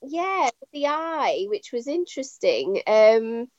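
A woman talks as a guest academic, measured and reflective, often restarting her sentences. Her audio is dull and lo-fi, with no room sound at all. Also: a little high, sing-song, darkish tone, no echo. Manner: measured, thoughtful, with frequent ums and restarts. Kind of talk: explanatory interview talk.

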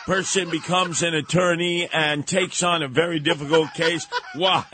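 A man's voice: a little high, steady tone, measured pace, a crowd in the background. A man hosting a radio talk show with theatrical, teasing banter. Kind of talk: casual radio banter, mocking commentary.

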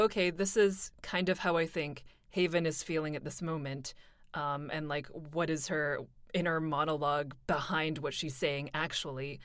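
A woman's voice: low voice, clear, resonant tone, no room sound, clean studio sound. A woman from Canada, a voice actor, speaking reflectively and earnestly in a casual remote chat, with playful asides.

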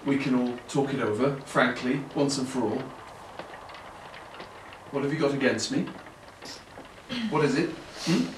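An actor as an aggrieved brother, distant and roomy. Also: male voice, mid-range voice, a little slow, long pauses, natural voice. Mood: uneasy, exasperated. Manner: hesitant, needling, questioning. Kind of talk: audio play acting. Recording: roomy, distant mic, faint noise.

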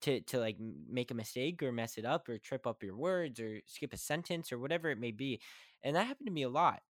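A young man hosts his weekly show, chatting about acting in a loose, rushed way. He trips over his words and restarts sentences often. His voice sits at an ordinary pitch, and the recording is dry and close. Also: lively intonation, no echo. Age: young adult. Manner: fast, stumbling, restarting often. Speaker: podcast host. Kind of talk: casual talk about acting.

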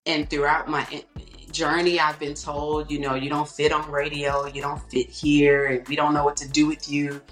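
A woman with a low alto voice, telling stories in a reflective way and peppering her talk with "you know". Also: level tone, moderate pace, echoey room, faint music behind. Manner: warm, reflective storytelling with many "you know"s. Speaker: guest singer. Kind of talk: casual interview talk.

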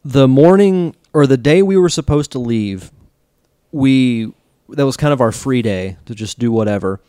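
A man's voice: mid-range voice, expressive, moderate pace, mellow, clean tone, no echo, studio quality. Easygoing storytelling podcast man. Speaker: podcast co-host. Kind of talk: casual chat.

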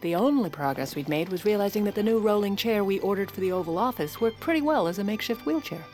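A woman's voice: mid-range voice, expressive, fast talker, darkish tone, faint music behind, lightly acted. An actress playing a wry, cynical First Lady in an audio drama, with a sardonic manner. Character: wry, cynical First Lady tending her ailing husband. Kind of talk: dramatic podcast narration and dialogue.

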